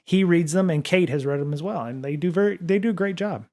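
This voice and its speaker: A man with a slightly high voice talks loosely to his livestream audience from a home studio desk microphone. He rambles, stacks up fillers and restarts his sentences often, easygoing and unscripted. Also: fast, a little mellow. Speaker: podcast and livestream host. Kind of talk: casual livestream chat reading viewer comments.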